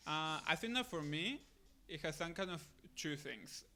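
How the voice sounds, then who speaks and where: Bright-voiced young man from Argentina. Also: on the high side, animated, moderate pace. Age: late 20s. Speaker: podcast guest, missionary. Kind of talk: casual interview answers.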